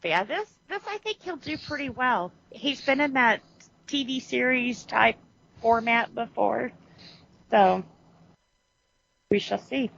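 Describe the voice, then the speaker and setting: A woman's voice: a little high, expressive, a little slow, gravelly, long pauses, a bit bright, lo-fi sound. A woman co-hosting a podcast in casual chat, rambling and correcting herself as she goes, laughing often. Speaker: podcast co-host.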